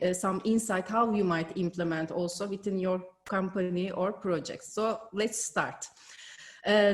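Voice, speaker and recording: A woman from Turkey with a Turkish accent giving an online conference keynote from home, careful with frequent hesitations, on a video call mic. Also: mid-range voice. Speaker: keynote speaker.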